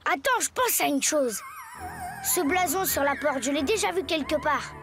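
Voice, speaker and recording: A woman's voice: high voice, big pitch swings, normal speed, a bit bright, exaggerated voice. A woman voicing a spirited young boy who plays a gallant, theatrical musketeer in a cartoon. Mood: intrigued. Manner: theatrical, swaggering old-fashioned exclamations. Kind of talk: French animated cartoon dubbing. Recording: music behind the voice.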